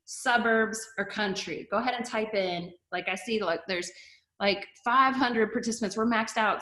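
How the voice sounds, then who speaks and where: A middle-aged woman pastor speaking casually and chattily to an online audience, often asking them to type in their answers. Her voice sits at an ordinary pitch for a woman, and the recording carries a noticeably roomy echo. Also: fairly bright tone. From Canada-born, raised in the US. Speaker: pastor and TV host.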